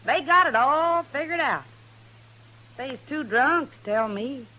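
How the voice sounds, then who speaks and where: An actress playing a stubborn, rough-spoken American frontier woman, folksy and defiant in an old-time radio western. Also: high-pitched, animated, takes it slowly, pushed and bright, slight background noise, heavily acted, poor audio. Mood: pleading, insistent.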